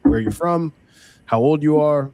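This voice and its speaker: A man's voice: fairly high pitch, unhurried, darkish tone, rough recording. A man hosting a live panel talk show, chatty and loose, often correcting himself mid-sentence. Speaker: podcast host.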